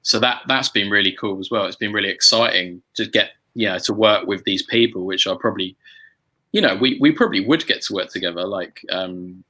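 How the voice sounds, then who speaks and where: A man with a fairly low but bright, forward voice. He talks casually as a musician in a group chat, feeling his way through sentences with repeated words and hesitations. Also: a little fast, rough recording. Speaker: musician and song arranger hosting a group chat. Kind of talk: casual conversational chat about music-making.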